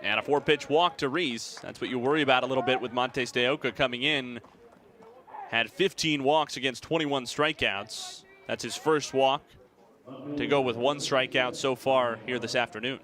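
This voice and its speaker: A young American man calling baseball play-by-play in a measured, articulate way. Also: medium pitch, fairly bright tone. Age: young adult. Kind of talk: sports play-by-play commentary.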